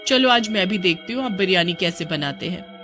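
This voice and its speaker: A woman voicing a bossy, teasing village wife in a cartoon story, sharp-tongued and exclamatory. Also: lowish voice, lively intonation, brisk, soft background music. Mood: bossy scolding.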